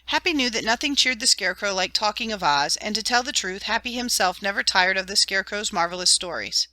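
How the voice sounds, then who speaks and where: Bright-voiced woman narrating a storybook. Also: medium pitch, expressive, a little fast, no echo. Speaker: audiobook narrator.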